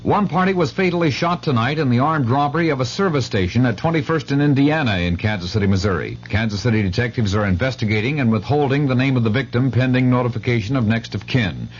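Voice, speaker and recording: Male local newsreader: rapid, clipped, scripted, slightly noisy old radio sound. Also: mid-range voice, lively intonation. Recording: dull radio, faint noise and hum.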